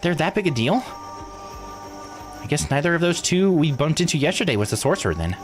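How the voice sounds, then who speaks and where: Man voicing a higher-pitched, earnest young islander. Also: very animated, normal speed, soft background music. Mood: puzzled. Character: earnest, worried young islander, polite but questioning. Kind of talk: visual novel read-aloud voice acting.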